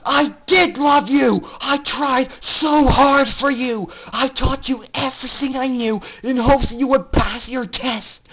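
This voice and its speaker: A story reader plays a bitter female pegasus villain full of hatred. The delivery is loud and forceful, screaming with fury at a raised pitch, as in a dramatic fan-fiction reading. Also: slow, few pauses, a little hiss or hum, full character voice, poor audio. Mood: bitter anger.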